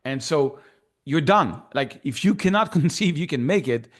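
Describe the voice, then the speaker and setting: A man's voice: fairly high pitch, lively intonation, normal speed. A middle-aged man with a French accent, a guest in a casual interview, talking in an enthusiastic, rambling way full of fillers and restarts. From France. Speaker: guest photographer.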